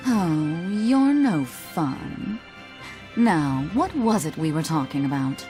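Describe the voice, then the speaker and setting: Lowish female voice, very slow, languid, sly teasing sorceress, dry, video game. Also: big pitch swings, mellow, full character voice. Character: sly, teasing, savvy sorceress and stern teacher. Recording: game audio with music behind.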